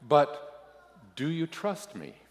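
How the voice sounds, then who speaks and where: A man voicing God as an amused, patient Lord who teaches in a deliberate, pointed way, in a reverberant hall. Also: mid-range voice, sing-song. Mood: earnest, slow. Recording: echoey hall, long reverb.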